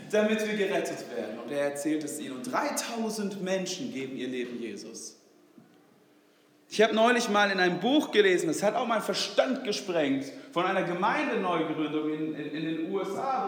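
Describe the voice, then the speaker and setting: Male preacher, Swedish native speaker, high-ish voice, conversational sermon with lots of fillers; recorded in a strongly echoing hall. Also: fairly bright tone. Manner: chatty, many fillers and tag questions. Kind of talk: informal sermon. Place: church hall. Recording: roomy hall reverb.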